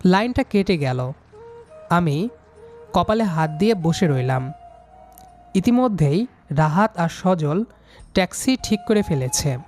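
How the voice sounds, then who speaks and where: A man's voice: sits high, animated, takes it slowly, long pauses, darkish tone. A man narrating a scripted first-person story as the storyteller. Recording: music behind the voice.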